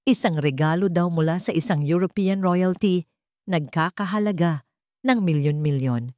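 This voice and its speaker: A woman's voice: lowish voice, moderate pace, warm tone, no room sound. Woman narrating dramatic fiction, dry studio sound. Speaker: story narrator.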